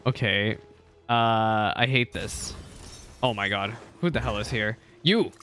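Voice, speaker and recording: A young man commenting on his own gameplay for his viewers, excitable and quick to complain or cheer. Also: on the low side, soft background music. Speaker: gaming content creator. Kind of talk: live game reaction commentary.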